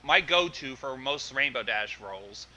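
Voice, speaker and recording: A man's voice: medium pitch, animated, normal speed, bright, forceful tone. A man bantering on a casual livestream as co-host, melodramatic and exasperated in his complaints.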